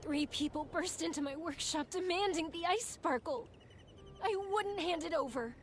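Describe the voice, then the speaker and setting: A woman's voice: very high voice, normal speed, slight background noise. A woman playing a distressed female fireworks maker in a video game cutscene. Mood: upset, recounting.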